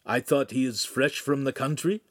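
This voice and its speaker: A man's voice: medium pitch, moderate pace, dry recording, clean studio sound, pitched above the performer's own voice, close to an everyday voice. A man voicing a courtly Italian nobleman in an audiobook, effusive and grandly polite. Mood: courtly, curious. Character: rich, tall, gracious Italian nobleman, pleased and courtly.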